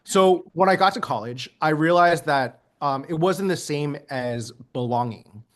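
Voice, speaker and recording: Man, first language Mandarin, interview guest, reflective, slightly high pitch, light laughs. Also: unhurried. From United States. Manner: earnest, laughs lightly between points, many ums.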